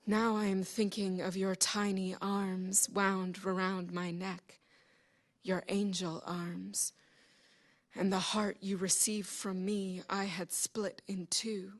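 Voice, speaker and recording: A woman giving a solemn, measured literary reading of poetry. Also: mid-range voice, flat delivery. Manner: slow, solemn, measured delivery. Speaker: poetry reader. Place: public library event.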